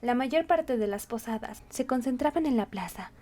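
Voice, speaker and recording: A woman's voice: a little high, lively intonation, normal speed, dark tone. A woman reading scripted storybook narration as the narrator.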